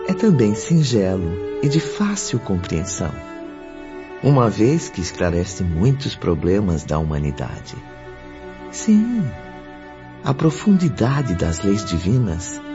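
A man narrating a radio drama from a script in a solemn, dramatic, deliberate way. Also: on the low side. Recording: dull lo-fi audio, music behind. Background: music.

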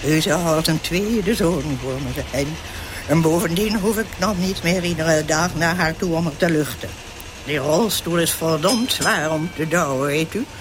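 Over clear traffic noise comes a garrulous old mother-in-law in a radio play. Her voice swings animatedly up and down as she rambles on, nagging and callous. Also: lowish voice. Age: older. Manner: rambling, chatty. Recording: clear vehicle and street noise.

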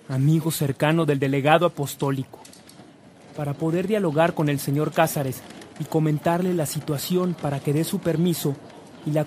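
A man's voice: a little high, darkish tone, slight background noise. A man reading scripted narration for a radio drama.

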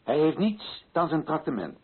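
Earnest, insistent colonial official, swooping intonation. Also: male voice, on the high side, sing-song, normal speed, breathy edge, full character voice. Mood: insistent, indignant. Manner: insistent, rhetorical questioning. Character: earnest indignant colonial official cross-examining a colleague. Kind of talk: Dutch radio play acting. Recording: muffled old radio recording.

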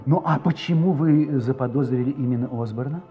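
A man acting a curious, friendly narrator and companion in an audio drama, unhurried and expressive. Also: mid-range voice, lo-fi sound, faint music behind, natural voice. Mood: curious, amused.

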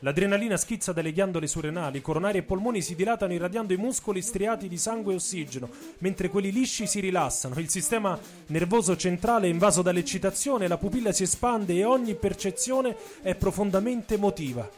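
Higher-pitched man, dramatic storyteller. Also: fast, hardly any pauses, darkish tone. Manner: theatrical, intense delivery. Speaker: author and narrator of radio theatre.

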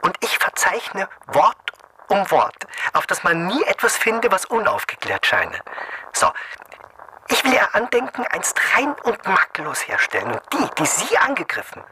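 A woman's voice: medium pitch, huge pitch swings, moderate pace, sharp tone, a little hiss or hum, poor audio. An actress playing a fiercely devoted lady-in-waiting to an empress, speaking in a solemn, declamatory way in a radio play. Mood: fervent, indignant.

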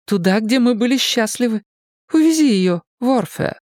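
A woman's voice: on the high side, animated, moderate pace, darkish tone, dry recording, studio quality, natural voice. A woman voicing an anguished wife and mother in a dramatised audiobook, tender but insistent as she emotionally implores. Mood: pleading, anguished.

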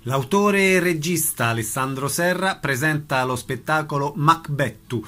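A man's voice: fairly high pitch, a little slow, a crowd in the background. A man hosting a radio arts programme, interviewing and presenting.

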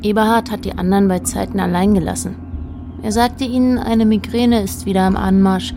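A woman's voice: mid-range voice, fairly even intonation, brisk, noisy background, close to an everyday voice. A woman narrating a literary radio play as a detached, observant storyteller, in short plain sentences.

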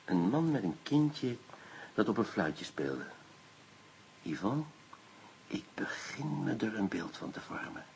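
Blustering, excitable police inspector. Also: male voice, lowish voice, takes it slowly, vocal fry, pauses often, a little hiss or hum, full character voice, rough recording. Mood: brisk, musing. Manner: blustering, exclamatory, commanding. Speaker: actor in a radio play. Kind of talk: Dutch radio drama acting.

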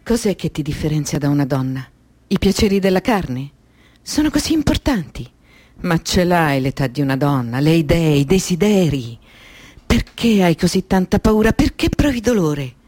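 A woman playing a lonely, searching young girl in a poetic radio drama, speaking intimately in a husky voice. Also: on the low side, darkish tone, dry recording. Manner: intimate, lyrical, questioning.

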